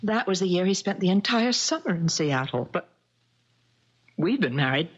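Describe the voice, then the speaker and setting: A woman's voice: fairly low pitch, big pitch swings, normal speed, breathy edge. An actress playing a timid, trusting middle-aged wife in a 1970s radio mystery, genteel and wavering in her delivery. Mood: hurt, timid. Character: timid, trusting older wife, shocked and betrayed. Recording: slightly dull vintage radio recording.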